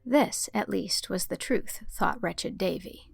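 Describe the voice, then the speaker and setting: A woman reads scripted literary narration as a storyteller. Her mid-pitched voice has noticeable creak and her delivery is measured and articulate. Faint music plays underneath. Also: dry recording. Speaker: audiobook narrator.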